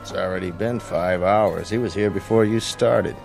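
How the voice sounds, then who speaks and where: An actor playing an easygoing, sympathetic casino employee in a radio drama, talking in a folksy, colloquial way. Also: male voice, low-pitched, moderate pace, gravelly, a little mellow, faint music behind. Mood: amused, pitying.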